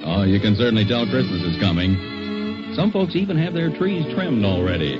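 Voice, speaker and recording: A man's voice: fairly low pitch, very animated, normal speed. A man announcing in a scripted, theatrical and chatty 1940s radio style. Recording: dull 1940s radio, loud music. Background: loud music.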